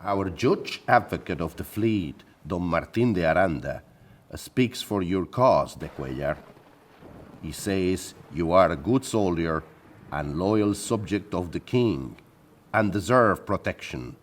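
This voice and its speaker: An actor playing a pompous, high-handed Spanish admiral in an audio play, declaring things formally. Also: male voice, animated, takes it slowly, full character voice. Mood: stern, formal.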